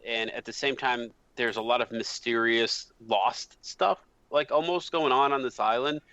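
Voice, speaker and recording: A man's voice: mid-range voice, normal speed, pushed and bright, no echo. An American man chatting casually as a podcast panelist, rambling with lots of fillers. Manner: loose and rambling, heavy use of "like". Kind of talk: casual chat. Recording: slightly muffled dry mic.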